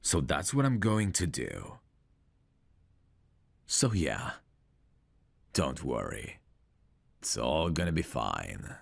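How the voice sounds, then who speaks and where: A man's voice: rumbling low, wildly swooping pitch, slow pace, creaky, long pauses, full character voice. A man playing a cocky, smug prizefighter in an ASMR roleplay audio drama, with a boastful, teasing, self-assured manner. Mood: reassuring, casual. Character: cocky, smug male prizefighter, proud of his looks. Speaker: voice actor.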